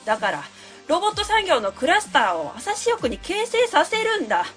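A woman's voice: extremely high pitch, wildly swooping pitch, fairly quick, a bit bright, faint music behind, full character voice. A woman playing a haughty, scornful academic adviser who barks orders in a comic radio drama. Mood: impatient, commanding. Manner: haughty, commanding.

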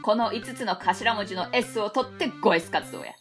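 A woman's voice: mid-range voice, expressive, normal speed, fairly bright tone, faint music behind. A woman with a Kansai accent voicing a blunt, know-it-all schoolboy in an amateur radio drama. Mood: lecturing. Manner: blunt, lecturing, matter-of-fact.

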